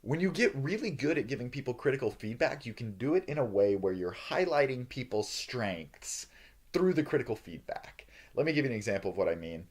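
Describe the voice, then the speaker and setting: A male professor with a loud, booming voice, giving an earnest lecture on feedback with lots of "uh" and "um" fillers. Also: a little fast, slightly rough. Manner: earnest, lively, frequent "uh" and "um" fillers.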